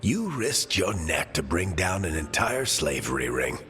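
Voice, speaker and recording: A wry seasoned mentor in a game, really low voice, lively delivery, music and reverb. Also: male voice, measured pace, full character voice. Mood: wry, encouraging. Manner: casual, wry, encouraging. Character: seasoned, wry injured mentor, team leader. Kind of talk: video game voice acting.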